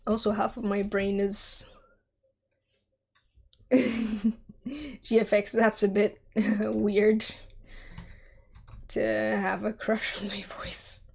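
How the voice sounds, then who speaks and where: A woman's voice: mid-range voice, level tone, drawn-out words, creaky, pauses often, a little mellow, breathy edge. A young woman in her twenties streaming while she sculpts, chatty and giggly as she answers chat, her voice scratchy from a cold. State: scratchy from a sore throat and cold. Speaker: streamer, 3D artist.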